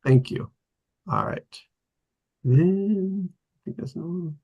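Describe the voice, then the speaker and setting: Casual male host, halting delivery. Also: high voice, gravelly, slightly rough, dull audio. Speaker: host of an online script table read. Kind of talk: casual hosting talk.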